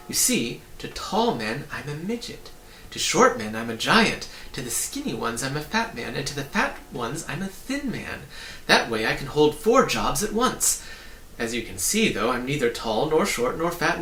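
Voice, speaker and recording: A man plays a storybook gentleman, proud and eager, with a bright, swooping delivery. He explains himself emphatically and at length, in a roomy recording. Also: a little high, big pitch swings, moderate pace, pitched above the performer's own voice, full character voice. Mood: confiding, pondering. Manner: emphatic, cheerfully self-important, rambling explanations. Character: ordinary-sized man claiming four size titles, brightly proud, eager. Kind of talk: storybook character reading. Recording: roomy, distant mic, faint noise.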